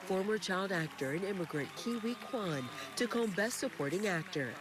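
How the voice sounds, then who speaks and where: A woman's voice: medium pitch, animated, moderate pace. A woman working as a correspondent, narrating a scripted entertainment news report. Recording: clear background ambience and noise.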